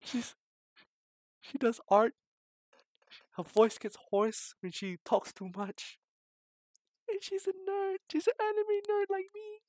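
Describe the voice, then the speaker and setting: A man voicing a shy, nerdy, excitable young anime-fan woman in a visual novel, hesitant and gushing. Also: extremely high pitch, slow pace, pauses often, no echo, pitched above the performer's own voice. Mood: excited.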